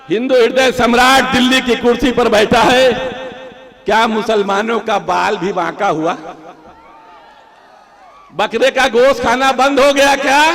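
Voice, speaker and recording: A man giving a fiery political speech at a rally, full of rhetorical questions and bursts of shouting. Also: extremely high pitch.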